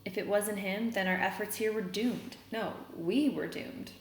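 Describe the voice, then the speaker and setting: A woman reading a children's novel aloud, pausing to explain words as a teacher would. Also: mid-range voice. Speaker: read-aloud reader and teacher. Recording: roomy echo, noticeable background noise.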